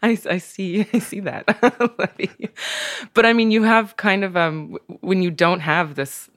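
A woman's voice: medium pitch, fairly even intonation, takes it slowly, fairly bright tone, dry recording, studio quality. A young woman from Oregon chatting as a podcast guest, laughing easily and full of ums and restarts. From Oregon, USA. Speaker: podcast guest, wine brand manager. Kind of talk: casual interview chat.

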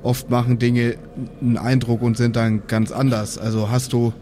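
A man's voice: medium pitch, level tone, moderate pace, warm tone, slight background noise, natural voice. A man voicing an evasive, cheeky dwarf who rambles hesitantly in an improvised audio role-play. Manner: hesitant, waffling.

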